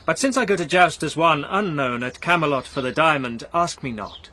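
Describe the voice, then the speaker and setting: An actor playing a great, world-worn knight in a verse radio drama, formal, measured, guarded and courteous. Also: male voice, fairly high pitch, expressive, sharp tone, a little hiss or hum. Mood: guarded formality.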